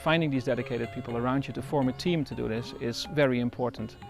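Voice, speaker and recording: Gravelly man speaking over music. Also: medium pitch. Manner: thoughtful, frequent pauses and restarts. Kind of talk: interview.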